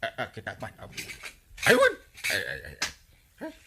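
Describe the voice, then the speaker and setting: An excitable, bossy village buddy in a comic radio play. His voice swings widely in pitch and has a bright, forceful edge, with an Ilocano accent and needling exclamations. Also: moderate pace, vocal fry, a little hiss or hum, heavily acted. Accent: Filipino, Ilocano. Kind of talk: comedic radio drama acting.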